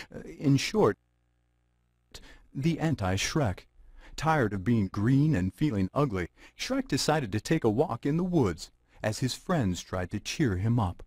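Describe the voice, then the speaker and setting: Wisecracking storybook narrator, swingy pitch, dry close sound, sardonic asides. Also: male voice, a little mellow, no room sound. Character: wisecracking, chatty male fairy-tale storyteller.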